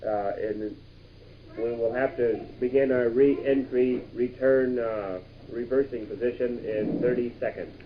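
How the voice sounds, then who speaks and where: Man playing a brisk astronaut commander, muffled old tape. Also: medium pitch, fairly even intonation, natural voice. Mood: urgent. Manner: mock-official, dramatic announcing with countdowns. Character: brisk male astronaut commander running a rocket launch. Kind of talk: improvised pretend radio play. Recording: muffled, noisy 1960s home tape.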